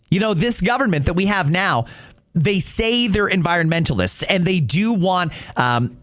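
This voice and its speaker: High-voiced man, podcast host, emphatic, opinionated, conversational political commentary. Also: a bit bright. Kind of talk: political commentary, answering listener messages.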